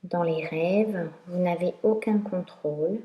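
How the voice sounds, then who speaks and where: A woman teaching yoga nidra, guiding a meditation in a calm, instructional way with long pauses. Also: lowish voice, lo-fi sound. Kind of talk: guided meditation narration. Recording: roomy echo.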